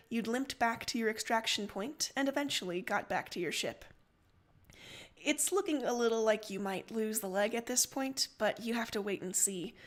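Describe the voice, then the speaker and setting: High-voiced woman reading stories aloud. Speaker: livestream story reader and host. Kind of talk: dramatic reading of fan fiction, with casual asides.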